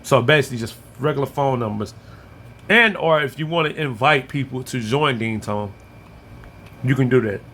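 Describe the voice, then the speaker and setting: A man's voice: medium pitch, normal speed, pushed and bright, slight background noise. An American man giving an informal, step-by-step app walkthrough as a tutorial presenter.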